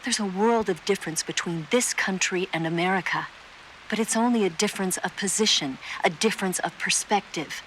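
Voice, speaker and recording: A woman's voice: mid-range voice, fairly bright tone, a little hiss or hum, lightly acted, low-quality recording. Woman acting a cool, enigmatic spy. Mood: reflective. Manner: measured, enigmatic. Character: cool, enigmatic female spy, worldly and seductive. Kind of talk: video game voice acting.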